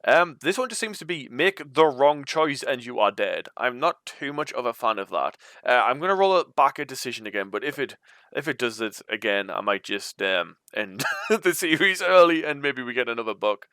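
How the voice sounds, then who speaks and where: A man's voice: medium pitch, animated, normal speed, bright, forceful tone, clean tone, no echo, studio quality. A man hosting a read-aloud of a gamebook, moving between storybook narration and informal, chatty commentary. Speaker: host reading a gamebook aloud.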